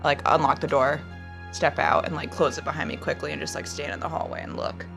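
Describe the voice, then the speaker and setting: Woman player talking through moves in a tabletop game, lowish voice, soundtrack behind. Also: flat delivery, fairly quick, vocal fry, a bit bright. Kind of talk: casual improvised roleplay. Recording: music laid under the voice.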